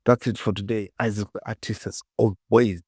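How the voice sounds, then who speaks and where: A man presenting a casual software tutorial, informally talking himself through the work and often repeating words, close to the mic. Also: fairly low pitch, steady tone, moderate pace, husky, no echo.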